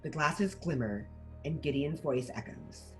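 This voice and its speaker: A woman reading stage directions as scripted narration at a table read, in an animated manner. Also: deep voice, measured pace, faint music behind.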